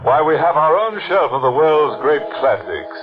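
An actor playing a ghoulish, tongue-in-cheek horror show host in 1940s radio style, sardonic and mock-genteel, with macabre relish. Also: male voice, on the high side, sing-song, normal speed, noisy background. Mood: sly mock-genteel. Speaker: host of a radio mystery anthology. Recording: muffled old radio recording with music.

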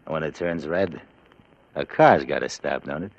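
Very deep-voiced American gangster boss. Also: male voice, normal speed. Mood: cocky, scheming. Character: slangy small-time gangster boss, a cocky schemer. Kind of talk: old-time radio crime drama acting. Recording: dull, noisy vintage radio recording.

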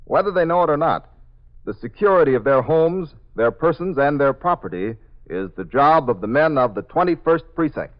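An American man acting as a solemn, deliberate narrator of a scripted 1950s police radio drama. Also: a little high, expressive, lightly acted. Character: solemn male narrator introducing a city police precinct. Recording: dull 1950s radio broadcast.

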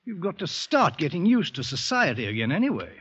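A man's voice: fairly high pitch, huge pitch swings, a little fast, fairly bright tone, husky, exaggerated voice. A man playing a jovial, bluff, fatherly hospital doctor, hearty and teasing, in 1940s radio drama style. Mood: brisk, encouraging. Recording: dull old radio drama recording.

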